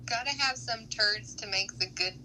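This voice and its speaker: A woman's voice: medium pitch, normal speed, bright, forceful tone, slight background noise, poor audio. A woman chatting casually as a co-host on a gaming stream, in a playful, teasing way. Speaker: stream co-host reading chat comments.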